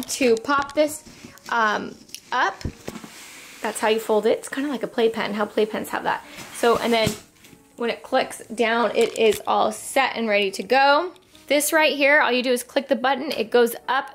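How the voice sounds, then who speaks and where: A young woman with a fairly high voice talks through a product demo in a casual, upbeat way. She leans on fillers like "um" and "like" as she goes. A faint hiss sits under her voice. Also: reverberant. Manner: chatty, upbeat, filler-heavy. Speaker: vlogger. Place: home room with wooden floor.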